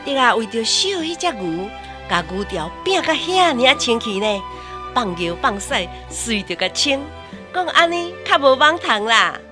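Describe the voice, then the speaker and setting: A woman's voice: a little high, big pitch swings, measured pace, over music. A woman with a Taiwanese accent narrating a scripted radio drama as a storyteller.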